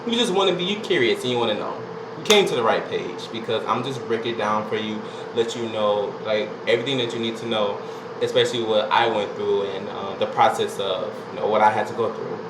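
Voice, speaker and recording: A man's voice: lowish voice, a little fast. Chatty man in an echoey room. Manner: rambling, chatty, with many fillers and asides. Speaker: vlogger. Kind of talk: casual vlog recounting a singing audition. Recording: echoey room with loud air-conditioning hum.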